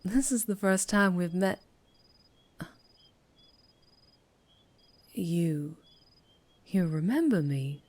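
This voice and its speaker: A woman plays a mysterious vampire biker in an ASMR roleplay. Her voice sits on the low side and she takes her time with each phrase. She is teasing and menacing by turns. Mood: nervous, evasive.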